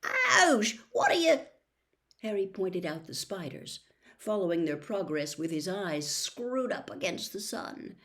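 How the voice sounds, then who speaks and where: A woman reading a story aloud as an audiobook narrator, with expressive scripted delivery. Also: on the low side, unhurried. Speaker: audiobook reader.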